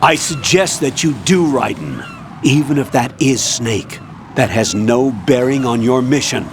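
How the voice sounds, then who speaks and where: A man's voice: mid-range voice, unhurried, lightly acted. Game colonel male actor: authoritative, stern, evasive, medium register, faint noise behind. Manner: authoritative, clipped. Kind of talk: dramatic video game acting.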